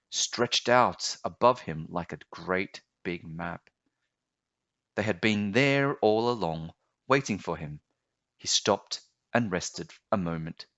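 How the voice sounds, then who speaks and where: Male storybook narrator: low voice, slow and expressive, animated pitch, slightly dull recording. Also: dry recording. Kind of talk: children's read-aloud narration.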